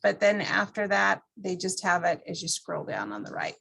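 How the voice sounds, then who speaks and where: A woman presenting an informal software walkthrough to a class, explaining things step by step in a conversational way. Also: fairly low pitch, big pitch swings, moderate pace, vocal fry, slightly rough.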